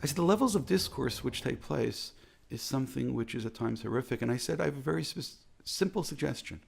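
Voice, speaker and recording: Gravelly earnest American man. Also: mid-range voice, expressive. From United States. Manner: earnest, measured, repeats phrases for emphasis. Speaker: rabbi. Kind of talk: reflective talk.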